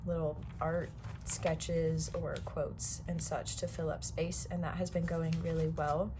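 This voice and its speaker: A young woman vlogger chatting casually about her planners, a little hoarse as she gets over a cold, rambling with lots of fillers. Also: fairly low pitch, noisy background. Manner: rambling, many fillers and restarts. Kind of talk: casual chat.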